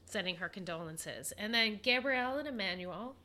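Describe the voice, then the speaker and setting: Bright-voiced, nasal woman with a cold. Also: mid-range voice, expressive. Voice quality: a little nasal. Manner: chatty, with many fillers and pauses. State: stuffed up with a head cold. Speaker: podcast co-host. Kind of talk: casual podcast chat.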